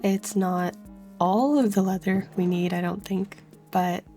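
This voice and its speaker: Slow, stuffy-voiced woman chatting through gameplay. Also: medium pitch, fairly even intonation, faint music behind. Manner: chatty, laughs softly between thoughts. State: stuffy and congested from a cold. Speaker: let's play host. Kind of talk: casual gameplay commentary.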